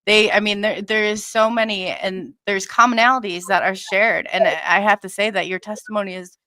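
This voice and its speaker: A woman hosting an online interview show, talking in a chatty, halting way with many restarts. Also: medium pitch, fairly even intonation, sharp tone, no echo. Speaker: host.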